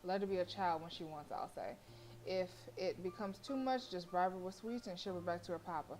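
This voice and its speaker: Lower-voiced woman streaming a game. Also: brisk. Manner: expressive, playful asides. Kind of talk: reading game text aloud with casual live commentary. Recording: faint game music behind. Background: game music.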